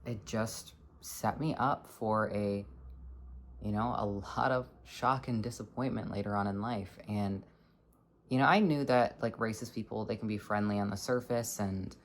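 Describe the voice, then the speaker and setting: A young adult in their twenties speaks in a low voice at an ordinary, mid-range pitch. They talk earnestly and haltingly, pausing to search for words as they reflect aloud. A faint hiss sits beneath the voice. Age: 20s. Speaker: vlogger. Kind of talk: personal reflective monologue.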